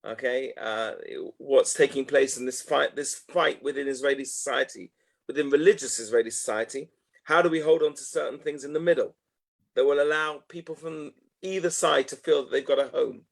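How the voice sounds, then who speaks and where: A man in his fifties talks as an interview guest, mid-pitched and unhurried in his thinking. He mulls things over aloud, circling back and dropping in little "okay" asides as he goes. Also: gravelly. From North London, England. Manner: reflective, loose phrasing with frequent "okay" asides. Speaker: guest, educational tour organizer. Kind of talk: casual interview answers.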